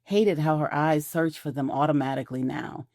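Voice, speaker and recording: A woman narrates a scripted romantic audio drama. Her voice is low and dark-toned, and the recording is close and dry, with no room sound. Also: moderate pace, no room sound.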